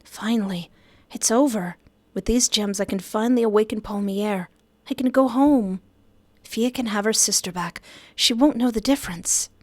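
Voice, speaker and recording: A woman's voice: mid-range voice, moderate pace, a little mellow, no room sound, voice pushed up, close to an everyday voice. Woman as a flippant, evasive young magic-user. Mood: relieved. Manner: casual, flippant, hesitant.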